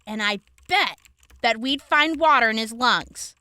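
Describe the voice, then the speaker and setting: A woman acting a brisk, clinical young examiner in a post-apocalyptic audio drama, explaining gory details frankly. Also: on the high side, sharp tone. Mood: clinical, focused.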